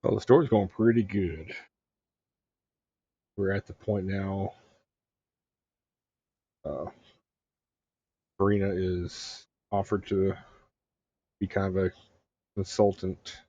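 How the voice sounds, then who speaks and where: A man giving casual, low-key livestream commentary on a game in a halting, unhurried way, on a dull close microphone. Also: steady tone, mellow, breathy edge, no echo. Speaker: game streamer.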